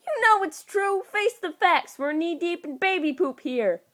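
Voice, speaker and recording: A woman voicing a gruff, exasperated male spy director who scolds bluntly and swears, in a storybook reading. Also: very high-pitched, animated, measured pace, fairly bright tone, pitched above the performer's own voice, full character voice. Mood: harsh, scolding.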